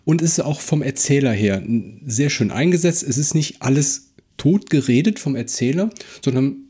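A man co-hosting a podcast in casual discussion, speaking in a halting, reflective way and repeating words. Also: mid-range voice, expressive, dark tone, breathy edge. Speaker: podcast co-host.

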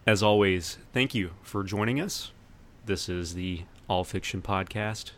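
Low-voiced man hosting a podcast. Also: unhurried, a bit bright. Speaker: podcast host. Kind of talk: casual podcast chat. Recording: noticeable background noise.

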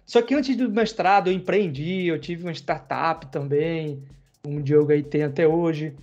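A man talks in a relaxed, rambling way, full of slang, the way a podcast host chats. His voice sits a little high. The recording sounds dull and lo-fi. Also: normal speed. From Bahia, Brazil. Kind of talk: casual chat.

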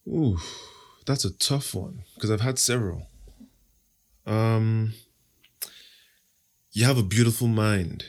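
Confident, playful Nigerian man, low voice. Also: slow pace, pauses often, a little mellow. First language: Igbo. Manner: confident, playful, self-assured. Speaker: podcast host, interview guest. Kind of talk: casual interview answers.

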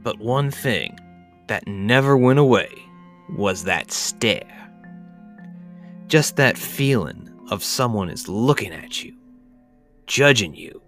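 A man playing a folksy, rustic yarn-spinner who tells a dark tale in a drawling, colloquial, theatrical way. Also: mid-range voice. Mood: ominous, slow. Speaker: podcast host reading a tale. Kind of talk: theatrical dramatic storytelling.

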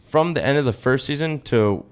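A man's voice: medium pitch, moderate pace, clear, resonant tone. A young American man co-hosting a podcast, chatting casually in an informal, slangy way. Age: young adult. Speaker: podcast co-host. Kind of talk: casual chat.

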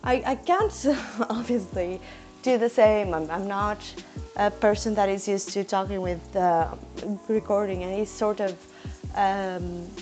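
A young woman with a self-described squeaky voice chatting casually in her home kitchen while cooking, rambling with fillers and restarts and trying to talk slowly. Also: steady tone, a little mellow, faint music behind. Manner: deliberately talking slowly. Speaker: cooking vlogger.

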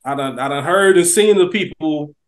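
A man's voice: on the high side, moderate pace. A man co-hosting a TV review podcast, making thoughtful points in casual chat. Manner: thoughtful, acts out quoted lines in a raised voice. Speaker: podcast co-host.

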